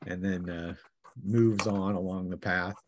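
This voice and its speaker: A man with a fairly low voice acts as game master for a tabletop roleplaying session. He improvises the narration as he goes. His delivery is halting, with pauses as he searches for the next detail. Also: fairly even intonation, background noise. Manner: halting, trailing pauses while improvising descriptions.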